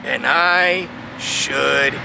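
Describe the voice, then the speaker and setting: A man's voice: mid-range voice, very slow, creaky, bright, forceful tone. A man in his thirties preaching an emphatic sermon in an open field, reading scripture aloud and rising and falling for emphasis. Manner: emphatic, preaching with swells and drops, reads verses aloud. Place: large open field outdoors. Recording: outdoor traffic noise behind. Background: distant traffic noise.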